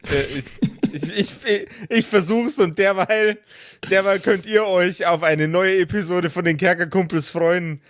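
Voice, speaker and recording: High-voiced man in joking podcast banter. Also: unhurried, fairly bright tone. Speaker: podcast player.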